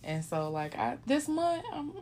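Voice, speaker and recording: A woman of thirty co-hosting a casual chat, relaxed and talkative, also reading a business shout-out. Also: a little high, a little slow, slight background noise. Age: 30s. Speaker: podcast co-host.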